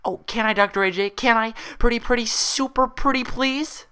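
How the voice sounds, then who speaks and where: Man putting on a high, bubbly girlish cartoon pony. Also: normal speed, pushed and bright, smooth. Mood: excited, pleading. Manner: gushing, pleading, eager. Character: bouncy, childlike excitable female pony patient.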